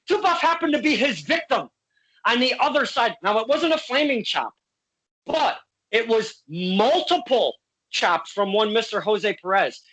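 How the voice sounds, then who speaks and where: A man's voice: very high voice, animated, unhurried, fairly bright tone, low-quality recording. A man from Connecticut hosting a casual interview podcast, boisterous and playful, swearing freely. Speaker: podcast host.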